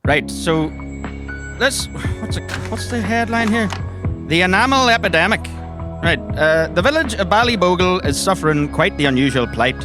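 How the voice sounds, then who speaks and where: A man with a Northern Irish accent playing a chatty, eager character in improvised comedy, colloquial and animated. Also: high voice, moderate pace, over music. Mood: curious, chatty. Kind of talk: improvised comedy role-play.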